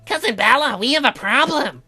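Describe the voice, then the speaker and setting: A perky young girl from a horror game, acted out by a woman. The voice is fairly high and lively, chatty and playful, with music underneath. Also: normal speed, breathy edge, heavily acted. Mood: urgent, chatty. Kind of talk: performed visual novel reading.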